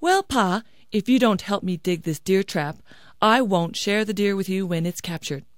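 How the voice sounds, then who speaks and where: A woman's voice: fairly high pitch, animated, unhurried, fairly bright tone, no echo, pitched above the performer's own voice. Lady doing a hot-headed young village guy from a folktale, indignant, big swoopy delivery, dry sound. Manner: exclamatory, indignant. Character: brash, hungry, easily angered young village man. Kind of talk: animated storytelling.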